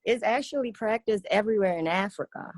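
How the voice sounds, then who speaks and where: A woman in her twenties from Kenya, a guest on a radio talk show, telling her own story earnestly with many pauses and fillers. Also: medium pitch, no room sound, studio quality. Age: 20s. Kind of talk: radio interview, personal testimony.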